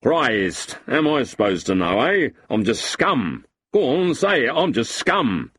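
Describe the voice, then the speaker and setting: A man voicing a boozy, sentimental lowlife with a husky voice and a blustering, self-pitying way of talking. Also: sing-song, slow pace, fairly bright tone, full character voice. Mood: aggrieved.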